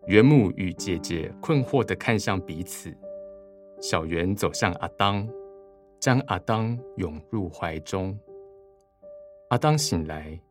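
A man narrates a radio play. His voice is very deep, reading scripted scene directions with soft piano music behind him. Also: expressive, slow.

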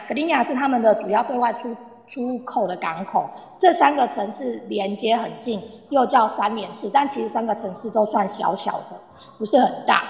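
Woman, Taiwanese Mandarin, casual explainer with English words dropped in; creaky voice, long reverb. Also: on the high side. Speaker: guest presenter on Poland. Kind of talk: casual explanatory talk with audience. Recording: echoey, reverberant room sound.

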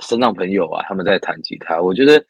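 A man's voice: sits low, expressive, fast, creaky, slightly rough, dry recording. A man hosting an interview, asking friendly questions with many back-channel hums. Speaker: host. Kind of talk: casual interview chat.

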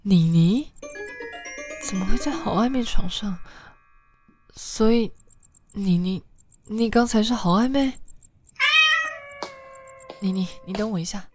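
A woman playing an earnest, tender, easily flustered female bar manager in a radio drama, speaking hesitantly with breathy murmurs. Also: mid-range voice, slow pace, pauses often, loud music behind the voice, close to an everyday voice. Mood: confessing, anxious.

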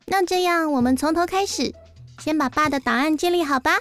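A woman plays a cheeky young daughter in an audio drama. Her voice is very high and childlike. She is brash, teasing and bossy, over light background music. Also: fairly quick, natural voice.

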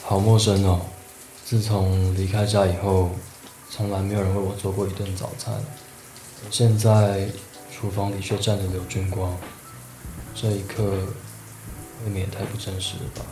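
An actor playing a sensitive, unsettled man around forty in a radio drama, speaking soft, introspective Taiwanese Mandarin. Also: low-pitched, flat delivery, normal speed, close to an everyday voice. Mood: reflective, unsettled. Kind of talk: radio drama narration and dialogue. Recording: roomy echo, music behind.

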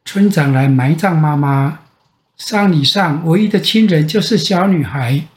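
An older man with a Taiwanese Mandarin accent tells a children's bedtime story in a gentle, expressive way with drawn-out phrase endings. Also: fairly high pitch. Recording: echoey room sound.

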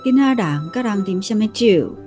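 Woman doing taiwanese mom in a radio play, soft scolding but gives in, swingy pitch, music behind her. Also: expressive, moderate pace, lightly acted. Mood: teasing, indulgent. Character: indulgent, gently scolding mother of a young child. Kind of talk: radio drama dialogue. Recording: music under the voice.

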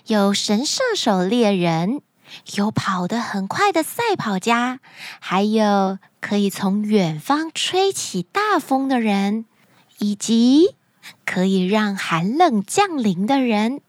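A woman hosting a children's story show, speaking to young listeners in an animated, expressive storytelling manner. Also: mid-range voice, slow pace, dry recording, clean studio sound. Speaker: children's story podcast host.

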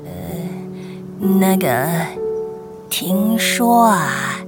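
A gossipy, worldly elder of a mysterious shop in a radio drama. The drawl is extremely slow and full of sighs, swooping up and down in pitch. Music sits clearly behind. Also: female voice, expressive. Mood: gossipy, musing. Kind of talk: audio drama acting. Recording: music under the voice.

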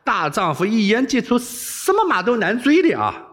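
A man's voice: mid-range voice, wildly swooping pitch, fairly quick. A man voicing a cheeky, foul-mouthed young rogue posing as a palace eunuch, brash and exclamatory, in audiobook storytelling. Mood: gleeful, scheming.

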